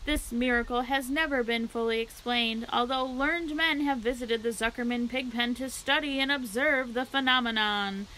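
A woman's voice: fairly high pitch, normal speed, few pauses, bright, forceful tone, a little hiss or hum, rough recording. A woman putting on a pompous fair announcer. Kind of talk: reading a children's book aloud.

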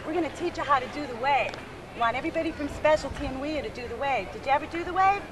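A woman's voice: high-pitched, fast. A young woman interviewing at an outdoor ballpark with a handheld mic, peppy and cheerleading. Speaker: company video interviewer. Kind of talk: upbeat on-camera interviews. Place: outdoor baseball stadium. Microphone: handheld mic on camcorder audio. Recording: distant camcorder audio with noise.

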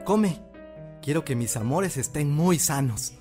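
A man's voice: a little high, expressive, a little slow, warm tone, soft background music. An actor playing a devoted young Mexican village husband and father in an audio drama, earnest and doting.

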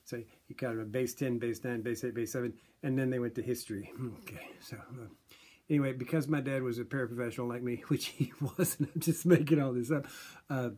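Husky older man spinning playful yarns. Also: mid-range voice, brisk. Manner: playful, deadpan storytelling. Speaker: vlogger. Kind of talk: rambling comic monologue. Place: small home room indoors. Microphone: webcam at desk distance.

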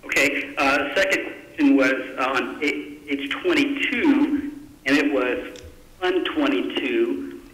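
A man speaking as a council member, politely and haltingly asking budget questions in a public meeting. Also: mid-range voice, fairly bright tone. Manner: hesitant, polite, self-interrupting. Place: indoor council chamber. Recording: echoey meeting room.

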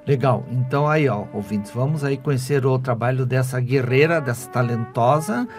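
A man's voice: mid-range voice, normal speed, darkish tone. A man, hosting a conversational radio interview. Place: small foam-padded radio studio. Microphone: studio microphone. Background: clear music.

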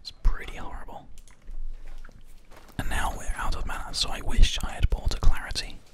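A man speaks entirely in a breath-only whisper, very close to a binaural microphone. His delivery is gentle, measured and unhurried, in the style of an ASMR gaming host talking the listener through play. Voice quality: unvoiced whisper. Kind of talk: ASMR gameplay commentary. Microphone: close binaural microphone, ear to ear.

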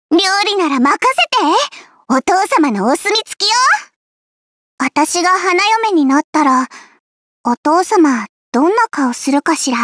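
A girlish dancing bride from a fantasy game. Her pitch is extremely high and moves in animated leaps. She sounds cute, perky and teasing, in a dry, close studio recording. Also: dry recording, full character voice. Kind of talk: video game character voice lines.

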